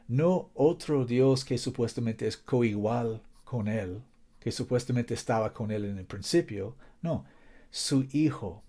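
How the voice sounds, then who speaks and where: Male preacher, non-native Spanish, mellow voice, earnest, repeats points, reads scripture. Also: mid-range voice. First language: not Spanish. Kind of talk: Bible teaching in Spanish as a second language.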